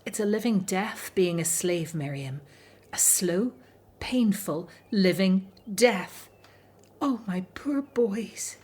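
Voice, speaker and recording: A woman's voice: mid-range voice, animated, slow pace, a little mellow, breathy edge. An actress in a radio drama playing a fearful, anguished young Hebrew mother, grave and despairing about life under slavery.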